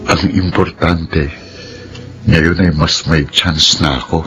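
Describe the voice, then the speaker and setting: An actor playing a calm, earnest young man courting a woman in a radio drama. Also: very deep voice, takes it slowly, over loud music. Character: patient, devoted young suitor. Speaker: suitor.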